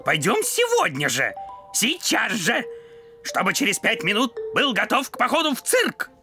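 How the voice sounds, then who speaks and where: A woman's voice: fairly high pitch, huge pitch swings, normal speed, music in the background. A woman voicing an eager young dog in a cartoon, emphatic and enthusiastic. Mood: excited.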